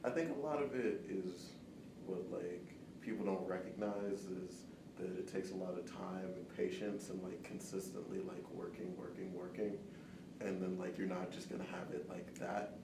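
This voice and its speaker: Deep-voiced man interviewing in an echoey room. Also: fairly even intonation, normal speed, creaky. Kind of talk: casual interview with informal phrasing. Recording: distant, roomy, noisy recording.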